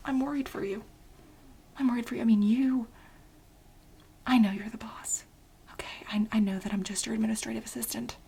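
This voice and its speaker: Woman playing obsessive female assistant, ASMR role play, very breathy whisper, dark soft tone, insistent, pleading, exasperated. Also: a little high, fairly even intonation, heavily acted. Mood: worried. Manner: insistent, repetitive, halting for emphasis.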